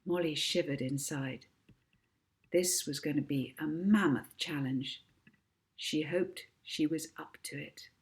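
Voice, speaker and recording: A woman reads a children's story in a low-pitched voice. She takes her time, narrating expressively like an author sharing her own book. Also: slow pace.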